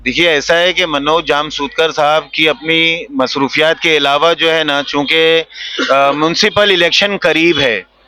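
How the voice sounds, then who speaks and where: Clear, bright high-voiced man. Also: fairly even intonation, a little slow, dry recording. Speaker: community interviewee. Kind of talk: measured interview commentary.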